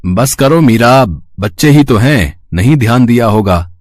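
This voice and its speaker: A man's voice: medium pitch, animated, unhurried, darkish tone, no echo, clean studio sound, lightly acted. A man voicing plain, earnest village characters in a dramatized moral story. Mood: mild, weary. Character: village men: mild husband, messenger, doctor.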